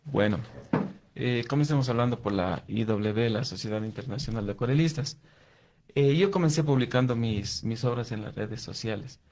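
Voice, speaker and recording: A man's voice: medium pitch, moderate pace, darkish tone, a little hiss or hum. A man with an Ecuadorian accent answering interview questions conversationally, explaining things and often restarting his sentences. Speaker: interview guest, watercolor artist and youth coordinator.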